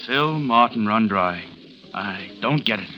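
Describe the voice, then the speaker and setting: A baffled writer drawls over gossip. He talks very slowly beneath loud background music. It sounds like an old radio drama. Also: fairly low pitch, animated, low-quality recording. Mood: puzzled. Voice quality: slow, baffled. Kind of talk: 1940s radio drama acting.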